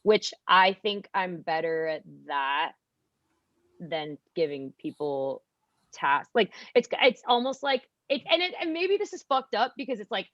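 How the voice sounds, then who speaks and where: A young adult podcast guest chatting casually, rambling with lots of "like" and restarts. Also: female voice, medium pitch, big pitch swings, unhurried, no echo. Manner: rambling, heavy use of "like". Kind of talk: casual chat. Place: college radio studio. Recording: dull lo-fi dry sound.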